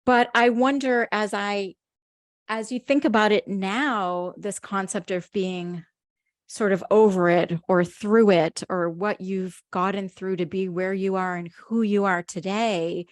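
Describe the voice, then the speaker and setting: A woman's voice: mid-range voice, fairly even intonation, measured pace, no echo, studio quality. A woman hosting a podcast, speaking earnestly and effusively, lingering on words of praise as she introduces her guest. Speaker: podcast host. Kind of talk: heartfelt interview introduction.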